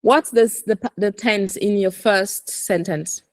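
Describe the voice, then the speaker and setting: Woman english tutor, corrects you and says words really clearly to repeat, pretty dry sound. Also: medium pitch, fairly even intonation, takes it slowly, no echo. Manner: instructive, correcting, enunciates words for repetition. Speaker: English teacher coaching aviation English. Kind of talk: one-to-one language lesson.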